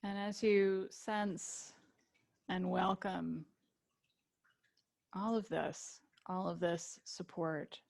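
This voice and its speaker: Slow, low-voiced woman guiding meditation. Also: steady tone, creaky. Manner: gentle invitations with long pauses. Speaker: yoga nidra teacher. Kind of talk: guided relaxation meditation.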